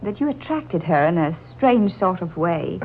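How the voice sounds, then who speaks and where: An actress playing a breezy, inquisitive wife and friend in a 1940s radio drama. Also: female voice, fairly low pitch, big pitch swings, unhurried. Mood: curious. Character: chatty, curious wife and matchmaking friend. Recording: tinny, hissy old 1940s radio recording.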